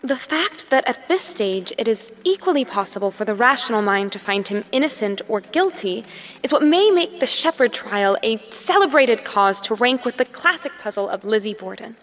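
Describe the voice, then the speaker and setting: A woman's voice: fairly high pitch, animated, moderate pace, a bit bright. An actress playing a poised 1950s woman journalist, reading her column in a formal, articulate way. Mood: intrigued, composed.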